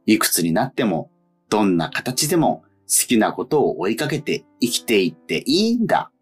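Lively low-pitched man hosting radio. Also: normal speed, husky, faint music behind. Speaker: radio show host. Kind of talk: reading listener mail and chatting on a radio show.

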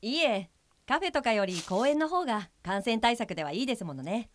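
A woman's voice: on the high side, animated, a little fast, dry recording, lightly acted. A woman playing an earnest guide helper for blind people in an audio drama, explaining in a polite, businesslike manner. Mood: polite, explanatory.

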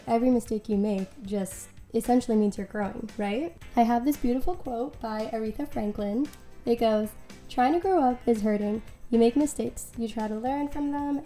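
A woman's voice: fairly high pitch, warm tone. A woman hosting her own podcast, talking earnestly through ideas and quotes, her voice a little worn from being unwell. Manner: earnest, explanatory, quotes and examples. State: recovering from lost voice. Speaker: podcast host, life coach. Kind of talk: solo podcast talk. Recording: echoey room.

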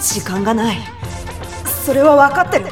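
An earnest young lamp-keeper, voiced by a woman with a high, lively voice. Polite but anxious and flustered, acted for audio drama with music behind. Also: normal speed, close to an everyday voice. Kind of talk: Japanese audio drama acting.

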